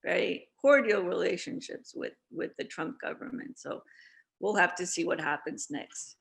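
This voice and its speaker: Woman guest on a zoom panel, gravelly voice, kinda earnest, repeats herself. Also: on the low side, expressive, normal speed, fairly bright tone. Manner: earnest, repeats words when starting. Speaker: guest speaker. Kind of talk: casual online panel discussion.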